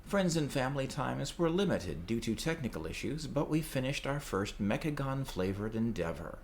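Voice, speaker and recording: Male podcast correspondent, animated intonation, playful scripted read, slight background noise. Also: mid-range voice, moderate pace. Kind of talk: scripted segment narration.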